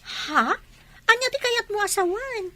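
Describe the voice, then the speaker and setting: An actress playing a doubtful, exasperated young friend in a radio drama, questioning everything in an Ilocano Filipino accent. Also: female voice, very high-pitched, animated, unhurried, pushed and bright, full character voice. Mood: incredulous. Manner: questioning, incredulous.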